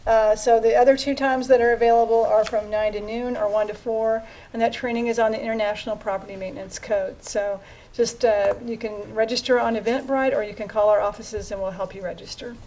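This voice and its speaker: Woman, raised pitch, informal staff update, public meeting, light background noise. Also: fairly even intonation, brisk, a little mellow, low-quality recording. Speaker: city planning director. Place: public council meeting room.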